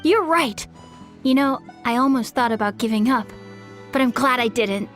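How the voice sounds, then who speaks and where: A woman playing an earnest, excitable young trainee flyer with some self-doubt, in a video game. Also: on the high side, moderate pace, faint music behind, close to an everyday voice. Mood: hesitant, self-doubting.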